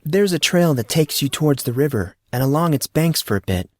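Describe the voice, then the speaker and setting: A man voicing a guarded teenage girl with a light, calming, slightly nervous tone in an audio story. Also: on the high side, brisk, no room sound, studio quality, pitched above the performer's own voice. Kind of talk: audio drama character dialogue.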